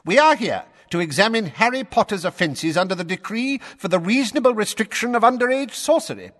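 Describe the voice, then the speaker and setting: A man voicing a pompous, blustering middle-aged official who sputters and shouts indignantly. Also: high voice, animated, fairly quick, big-room sound, pitched above the performer's own voice. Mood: indignant, shouting.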